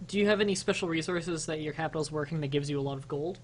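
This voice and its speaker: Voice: mid-range voice, rapid-fire, slight background noise. Dry-witted streamer teasing a co-player. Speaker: let's play co-streamer. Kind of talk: casual gaming chat.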